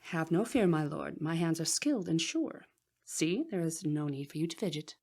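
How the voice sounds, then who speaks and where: An actress playing a clever, composed young bride of a sultan in a radio drama, speaking formally and deferentially. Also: female voice, sits low, expressive, normal speed, darkish tone.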